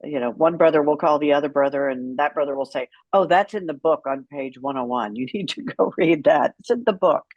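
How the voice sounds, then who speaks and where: A woman's voice: low voice, brisk, creaky, few pauses, dry recording, lo-fi sound. A woman speaking as an interview guest, telling her story in a candid, outspoken and emphatic way. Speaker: guest, author and caregiver advocate.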